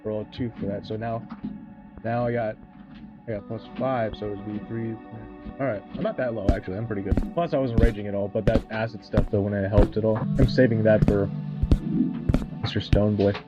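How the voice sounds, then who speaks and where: A man's voice: fairly low pitch, fairly even intonation, brisk, muffled recording, over loud music. A man talking casually as a player in a tabletop role-playing game.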